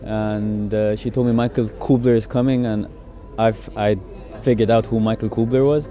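A man's voice: lowish voice, steady tone, moderate pace, warm tone. A man in his thirties from Egypt telling a story hesitantly, with many restarts, in a casual interview. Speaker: car enthusiast.

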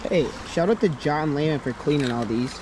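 Excited high-voiced man by a roaring river. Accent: American. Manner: excitable, slangy, loud exclamations. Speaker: fishing vlogger. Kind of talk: excited outdoor vlog commentary. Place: outdoors, wading in a river. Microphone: head-mounted action camera. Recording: loud rushing river noise.

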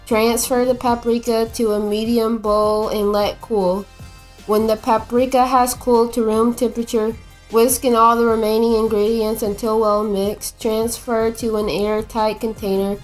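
Flat-voiced woman reading a spice recipe. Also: fairly high pitch, measured pace, faint music behind, low-quality recording. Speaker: family member demonstrating a recipe.

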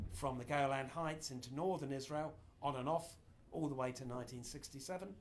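Middle-aged man, informal lecturer, animated delivery, mid-range pitch, small room. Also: a little hiss or hum. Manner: animated, gesturing broadly while explaining. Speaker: speaker giving a history talk. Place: small indoor meeting room.

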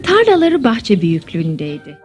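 A woman's voice: medium pitch, wildly swooping pitch, normal speed. A woman giving scripted storybook narration for a cartoon.

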